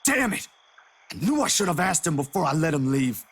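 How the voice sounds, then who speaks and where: A man's voice: a little high, big pitch swings, fairly quick, fairly bright tone, husky, full character voice. An actor playing a frustrated, worried young man in a visual novel.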